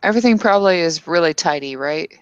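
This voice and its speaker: A woman's voice: on the low side, lively intonation, fast, clean tone, no room sound, lo-fi sound. A woman casually narrating her character in a tabletop roleplay, hesitant and full of fillers.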